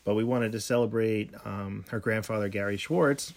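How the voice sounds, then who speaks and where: Middle-aged male co-host, low gravelly voice, rambling casual delivery full of ums. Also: moderate pace, darkish tone. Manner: rambling, many ums and restarts. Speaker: podcast co-host. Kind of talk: casual home podcast chat.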